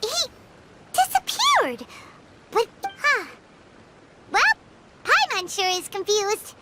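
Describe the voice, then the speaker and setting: A woman voicing a tiny, excitable floating fairy girl with a squeaky, childlike voice in a video game. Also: takes it slowly, very noisy, full character voice. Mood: confused. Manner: exclaiming, speaks of self in third person. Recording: loud music behind the voice.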